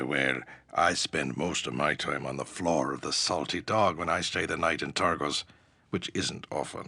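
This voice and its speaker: An actor playing a gruff, wry but good-hearted ship's captain in a fantasy video game, with salty, colloquial seafaring speech. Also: male voice, low voice, pushed and bright, no room sound, studio quality, heavily acted. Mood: casual, cautioning.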